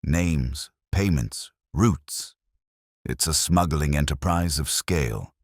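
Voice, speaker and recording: A man's voice: rumbling low, takes it slowly, no room sound, studio quality, exaggerated voice. A man voicing a businesslike police inspector in a mystery audiobook, blunt and formal. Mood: grim, puzzled.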